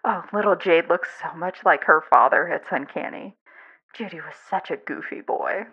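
A woman's voice: on the low side, moderate pace, a bit bright, husky. A woman playing a doting, chatty, affectionate mother in a fan fiction audio drama, gushing and rambling. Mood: gentle, wistful. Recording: dull lo-fi recording.

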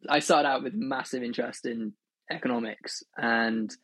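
A young man from Wales, telling his story as a podcast guest in a relaxed, wordy way. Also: mid-range voice, moderate pace, no room sound. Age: young adult. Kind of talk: casual interview storytelling.

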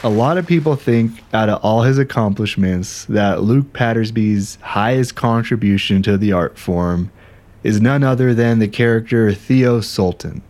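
An actor playing an aggrieved, self-dramatizing ghostwriter in a conversational, wry, indignant audio drama monologue. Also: male voice, fairly low pitch, a little slow, darkish tone, close to an everyday voice. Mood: grudging, wry. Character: aggrieved, self-dramatizing male ghostwriter telling his grievances. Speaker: narrator and protagonist.

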